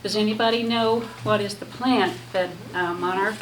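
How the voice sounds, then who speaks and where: Soft-voiced woman giving a talk, lots of ums, distant mic, echoey room w/ noise. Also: mid-range voice, steady tone. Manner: soft-spoken, halting with many fillers. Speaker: guest speaker. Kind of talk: casual talk to a women's lunch group. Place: echoey hall with audience.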